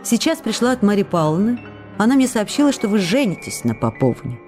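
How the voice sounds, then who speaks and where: A woman's voice: medium pitch, very animated, brisk, a little mellow, natural voice. A woman playing an affectionate, restless actress who reads her love letters aloud in a coquettish, pleading, emotive way for a radio play. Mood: teasing, wounded. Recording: radio broadcast with music behind. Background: music.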